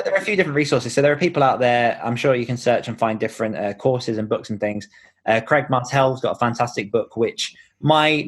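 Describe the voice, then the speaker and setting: Male author as podcast guest, mid-pitched voice, encouraging and explanatory, conversational delivery.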